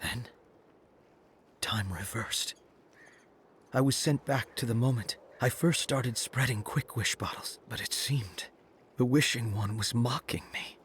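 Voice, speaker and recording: An actor playing a weary, weakened prophet bent on revenge in a video game, with a breathy, halting, grave delivery that often drops to a whisper. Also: male voice, mid-range voice, fairly bright tone, a little hiss or hum, close to an everyday voice. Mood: resigned, earnest. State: coughing, weakened. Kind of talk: dramatic video game cutscene acting. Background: prominent music.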